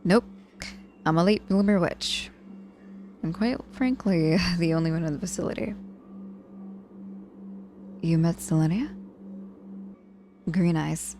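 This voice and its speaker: Unhurried, low-voiced wry young witch acted by a woman. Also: a little mellow, soft background music. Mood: wry, chatty. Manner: casual, teasing, feigned reluctance. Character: young woman witch, sardonic, gruffly caring hospital patient. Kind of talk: intimate ASMR audio roleplay.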